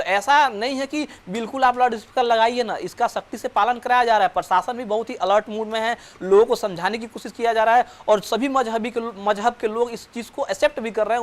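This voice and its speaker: A man reporting news live and explaining unscripted, in a halting way, often repeating and correcting his words. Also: high voice.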